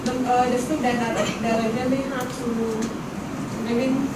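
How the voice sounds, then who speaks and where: A woman's voice: on the high side, flat delivery, normal speed. A woman at a teacher training session, describing a classroom problem hesitantly, with many restarts. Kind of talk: workshop discussion. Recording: very echoey room, far from mic, loud noise.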